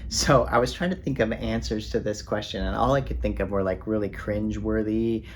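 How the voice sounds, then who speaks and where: A man with a fairly low voice talks casually to the camera in a book vlog. He rambles with plenty of ums and uhs, poking fun at himself. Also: fairly even intonation, a little fast, a little hiss or hum. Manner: rambling, self-deprecating, many fillers. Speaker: book vlogger.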